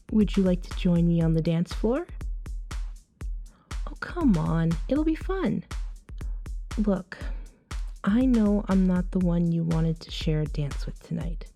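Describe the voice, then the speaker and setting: Woman acting as a female vampire, flirty and self-assured, slow pace, mellow soft voice, teasing, ASMR roleplay. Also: on the low side. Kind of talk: ASMR roleplay, acted one-sided dialogue.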